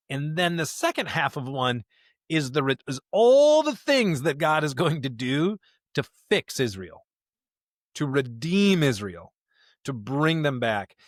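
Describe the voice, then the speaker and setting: A man's voice: mid-range voice, a bit bright, no echo, studio quality. A man co-hosting a conversational podcast discussion of scripture, speaking earnestly and repeating phrases for emphasis. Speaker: podcast co-host.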